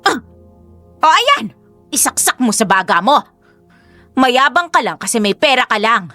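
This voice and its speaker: A woman's voice: a little high, big pitch swings, a little slow, sharp tone, dry recording, heavily acted. An actress playing a domineering, judgmental middle-aged mother in a Tagalog radio drama, scolding and melodramatic. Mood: furious. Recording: faint background music.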